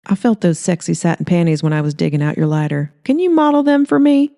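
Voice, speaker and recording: A woman's voice: on the low side, lively intonation, quick pace, warm tone. Woman putting on a feisty, flirty small-town gal.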